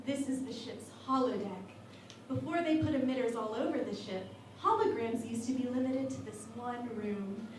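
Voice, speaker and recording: A woman's voice: a little high, moderate pace, a little mellow, natural voice. A woman playing an earnest, wry psychic starship officer, matter-of-fact, in a parody sci-fi radio play. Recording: very echoey, far from mic, noisy.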